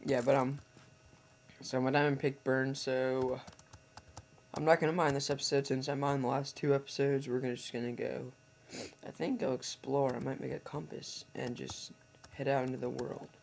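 A hoarse teenage boy chatting casually to viewers over gameplay, rambling and correcting himself as he goes. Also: mid-range voice, flat delivery, moderate pace. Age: teens. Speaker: gameplay commentator. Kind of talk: casual let's-play commentary. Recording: noisy home recording with typing.

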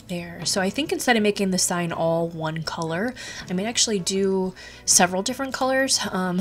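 A woman hosting a craft tutorial, talking casually with lots of ums and restarts, her voice a little stuffy from a cold. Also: lowish voice. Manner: chatty, rambling, many ums and restarts. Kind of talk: casual screen-recorded tutorial.

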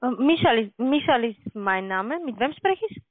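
A sales agent speaks with a foreign accent, clearly not a native speaker. The delivery is formal and halting. It comes over a thin, dry telephone connection. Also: medium pitch, expressive, no room sound. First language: not German. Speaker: call centre sales agent. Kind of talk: sales phone call. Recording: tinny dry phone line.

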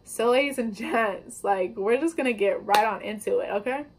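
A woman's voice: medium pitch, normal speed, a little hiss or hum, low-quality recording. A young woman vlogging at home, talking casually to the camera with upbeat, slangy chatter while she bakes. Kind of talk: casual baking vlog. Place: indoors at home, kitchen table before a wallpapered wall.

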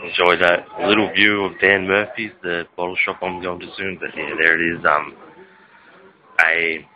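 A man's voice: low-pitched, level tone, normal speed, a crowd in the background. A young Australian man chatting with crude, rambling jokes as he vlogs while walking outdoors, holding the camera at arm's length. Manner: rambling, crude jokey banter. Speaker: vlogger. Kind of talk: casual walking vlog. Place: outdoors on suburban streets. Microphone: handheld camera at arm's length. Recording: lo-fi camera audio with street noise.

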